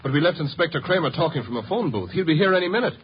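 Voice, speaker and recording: A fretful friend in a vintage radio play. He talks fast in a rather high, swooping voice, anxious throughout, on a muffled recording. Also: sing-song, a little hiss or hum. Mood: uneasy. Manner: fretful, hesitant. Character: anxious, fast-talking male friend of the suspect. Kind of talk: 1950s radio drama acting.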